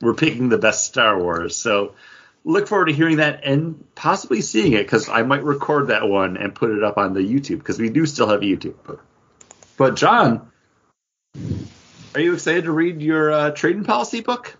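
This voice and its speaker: A man's voice: medium pitch, big pitch swings, moderate pace, fairly bright tone, husky, highs cut off. A man hosting a podcast in casual, chatty fan talk. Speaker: podcast host.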